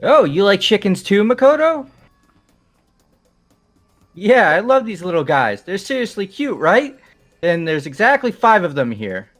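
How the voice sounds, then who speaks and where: A man's voice: high voice, very animated, measured pace, pauses often, soft background music. A man voicing an earnest, eccentric teenage schoolboy with dramatic delivery in a fan-voiced game. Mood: earnest enthusiasm.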